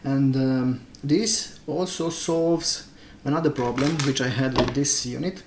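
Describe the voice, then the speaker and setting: Slow, gravelly non-native English-speaking man, echoey room. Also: mid-range voice. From Transylvania, Romania. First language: not English. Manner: hesitant, halting, searching for words. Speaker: product reviewer. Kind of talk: casual unboxing commentary. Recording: echoey room, noisy, clicks and crinkling.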